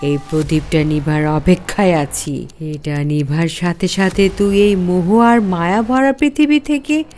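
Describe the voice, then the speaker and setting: A woman's voice: on the low side, a little slow, hardly any pauses, darkish tone, a little hiss or hum, close to an everyday voice. A woman playing a seductive, cruel female hair demon in a horror cartoon, with a taunting, threatening delivery. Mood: menacing, gloating.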